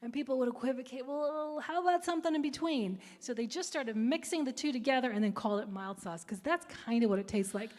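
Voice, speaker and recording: A woman's voice: sits high, fast talker, few pauses. Upbeat woman quizzing a library audience. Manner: lively, playful quizmaster. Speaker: author. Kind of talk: book talk with audience quiz. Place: public library event space. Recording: reverberant hall.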